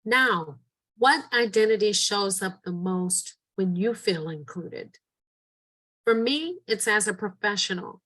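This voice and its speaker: A middle-aged woman educator reads training slides in a steady, earnest voice at a mid pitch. She guides listeners through reflection prompts with a plain instructive delivery. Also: takes it slowly. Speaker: educator and presenter. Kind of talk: scripted training narration.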